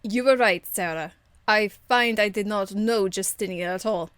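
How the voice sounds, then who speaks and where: A woman voicing a stern, dignified noblewoman warrior of faith for an amateur game voice demo, speaking in a formal, measured, earnest way. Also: mid-range voice, a bit bright, no echo, clean studio sound, lightly acted. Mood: rueful.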